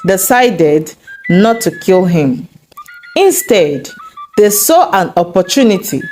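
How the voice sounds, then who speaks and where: A woman storyteller narrating a scripted folktale in a measured, dramatic way, breaking it into short phrases. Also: medium pitch, a little mellow, low-quality recording. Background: background music.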